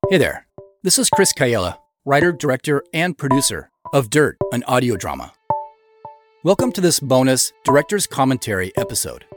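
Male host, behind-the-scenes commentary on an audio drama, lively mid-range voice over prominent music. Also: mid-range voice, moderate pace. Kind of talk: director's commentary, explanatory narration.

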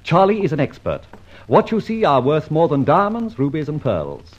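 An actor playing a breezy gentleman adventurer, jaunty and flowery in the manner of old-time radio drama. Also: on the high side, wildly swooping pitch, normal speed. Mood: suave. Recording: dull old radio recording, faint noise.

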